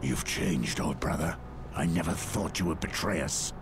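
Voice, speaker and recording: An actor playing a stern, commanding middle-aged ranger with a gravelly, grave voice in a video game cutscene. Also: male voice, extremely low pitch, moderate pace. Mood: grave, reproachful. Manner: grave, authoritative. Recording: loud game music under the voice.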